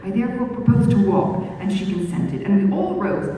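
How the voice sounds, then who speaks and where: Expressive woman reading in an echoey hall. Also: medium pitch. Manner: expressive, measured storytelling. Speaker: author reading her book. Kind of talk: reading a period novel aloud at a book launch. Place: book launch venue. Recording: echoey hall, distant mic, faint room noise.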